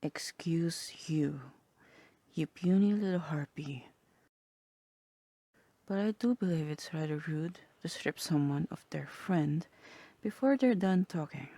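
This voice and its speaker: A woman voicing a sly, teasing demon in a half-whispered ASMR roleplay, languid and wry, with a husky voice. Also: low-pitched, expressive, slow pace, mellow, dry recording, full character voice. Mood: haughty, annoyed. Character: sly, teasing demon tempting a human toward hell.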